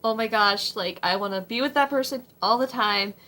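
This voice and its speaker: A young woman in her twenties, slightly hoarse, giving casual advice from her home kitchen in a rambling way, with many ums and likes. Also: a little high, moderate pace, sharp tone. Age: 20s. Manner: rambling, full of ums and likes, frequent laughs. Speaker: vlogger. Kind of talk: casual faith-based advice vlog.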